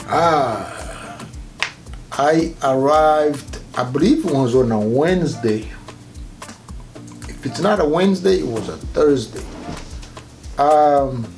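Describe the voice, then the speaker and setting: Gravelly Rwandan-accented man, mellow tone. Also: on the high side. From Rwanda, grew up partly in Kenya. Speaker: interview guest. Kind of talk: casual personal storytelling in an interview.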